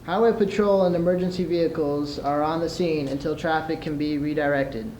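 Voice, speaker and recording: A man's voice: on the high side, fairly even intonation, fairly quick, a little mellow. A young man in his teens delivering scripted radio news as a student competitor. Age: teenager. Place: large room at a school event. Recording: echoey, noisy live room recording.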